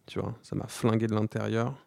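A man in his thirties speaking candidly in an interview, slangy and halting, with many restarts and fillers. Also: lowish voice, little pitch movement, gravelly, dry recording, studio quality. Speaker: guest.

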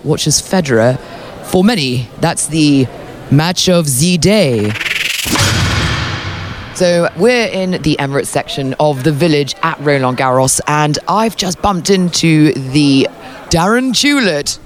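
A woman's voice: low voice, expressive, a little slow, a little hiss or hum. A woman hosting a sports podcast, interviewing a guest on location at a tennis venue in a playful, teasing way. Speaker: interviewer and show host.

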